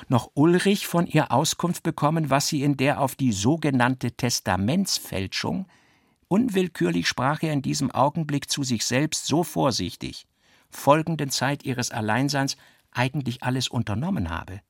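Male narrator, creaky husky voice, dry close sound, measured literary reading, precise phrasing. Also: mid-range voice, lively intonation, dry recording. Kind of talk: scripted literary narration for radio.

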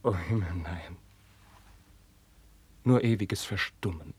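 An actor playing a passionate, lovelorn young prince in a 1950s classical radio drama, declaiming fervently and haltingly. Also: male voice, deep voice, a little mellow, breathy edge, full character voice. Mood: solemn, anguished. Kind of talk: 1950s German stage-style radio acting of classical verse.

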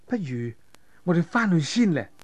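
A man's voice: on the high side, big pitch swings, normal speed, dry recording. A man voicing a cautious, worried martial-arts companion in a told adventure story, in a subdued, hesitant way.

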